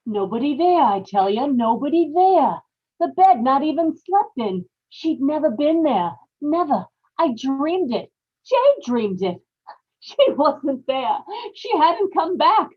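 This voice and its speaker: A woman's voice: high voice, very animated, heavily acted. A woman playing a guilty, unhinged wife giving an intense, dramatic confession in an old-time radio horror play. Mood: frantic. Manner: intense, emphatic, repetitive storytelling with dramatic pauses. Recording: dull lo-fi live radio-play audio.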